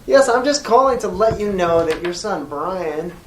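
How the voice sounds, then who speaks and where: A person playing a polite schoolteacher on a call to a parent, speaking in a measured, hesitant and diplomatic way with frequent pauses. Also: medium pitch, lively intonation, lightly acted. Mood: polite, careful. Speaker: teacher. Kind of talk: role-played phone call demonstration. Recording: echoey room, distant mic, faint noise.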